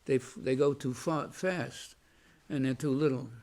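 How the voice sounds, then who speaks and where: Gravelly older man, halting and slow. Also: medium pitch, steady tone. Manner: halting, many restarts and fillers; says he has a loud voice. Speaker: veteran interviewee. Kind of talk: oral history recollection.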